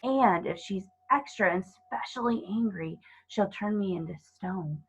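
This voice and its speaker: A woman's voice: medium pitch, a little slow, breathy edge, low-quality recording. A woman voicing a timid, guilt-ridden male faun in a children's storybook, tearful, pleading and melodramatic. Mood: tearful, frightened.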